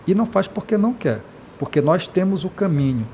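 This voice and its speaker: Impassioned Brazilian man, halting and earnest. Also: high-pitched, very animated, warm tone, slight background noise. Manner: impassioned, many hesitations and repeated "né". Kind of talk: earnest interview talk.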